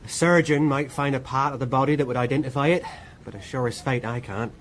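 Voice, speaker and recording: An actor playing a respectful, hesitant manservant in a period radio drama, plain-spoken. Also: male voice, mid-range voice, steady tone, quick pace, a little hiss or hum, natural voice. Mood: uneasy.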